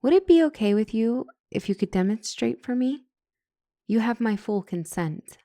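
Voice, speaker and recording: A woman's voice: fairly high pitch, lively intonation, moderate pace, dark tone, no echo. A woman acting as a young, brilliant couples therapist in a comedic audio roleplay, professional but easily flustered.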